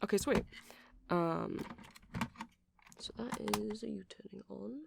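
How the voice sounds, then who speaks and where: A performer playing a friendly, nerdy vampire music shop keeper in an ASMR roleplay, rambling softly and apologetically to a customer with hesitant, casual speech. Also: mid-range voice, takes it slowly, vocal fry, very noisy. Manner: soft, rambling, hesitant, self-deprecating. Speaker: store clerk.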